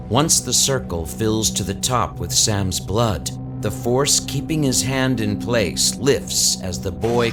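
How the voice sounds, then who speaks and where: Male narrator, lowish pitch, dramatic scripted horror storytelling, clear music bed underneath.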